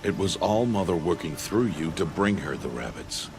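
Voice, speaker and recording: An actor playing a stern, patient, devout mentor in a video game, speaking solemnly and tersely. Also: male voice, sits low, expressive, moderate pace, vocal fry, music in the background. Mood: stern, measured. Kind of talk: video game dialogue acting.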